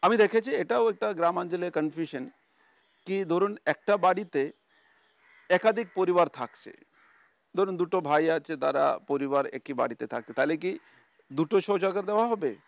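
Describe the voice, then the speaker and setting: A man's voice: high voice, normal speed, vocal fry, dry recording. A man with a West Bengal accent explaining policy to the public as a government official.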